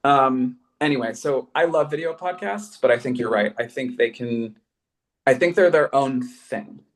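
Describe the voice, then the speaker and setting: A man's voice: mid-range voice, moderate pace. A man chatting casually and emphatically as a podcast creator on a discussion panel, with an enthusiastic way of talking.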